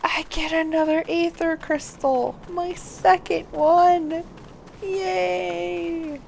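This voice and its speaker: A woman's voice: very high-pitched, steady tone, very slow, creaky, darkish tone, a little hiss or hum. A woman game streamer talking through a walkthrough, reading on-screen text aloud and muttering to herself in between.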